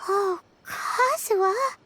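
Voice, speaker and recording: A woman voicing a tiny, chirpy, childlike fairy companion in a video game, excitable, curious and chatty. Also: very high voice, takes it slowly, noisy background. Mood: touched. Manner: exclamatory, chatty.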